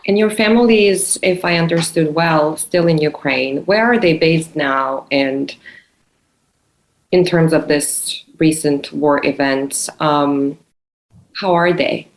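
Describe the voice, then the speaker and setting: A woman's voice: deep voice, takes it slowly. A woman from Bosnia and Herzegovina co-hosting a podcast, asking direct, probing questions. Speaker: podcast co-host. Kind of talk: interviewing. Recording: roomy echo.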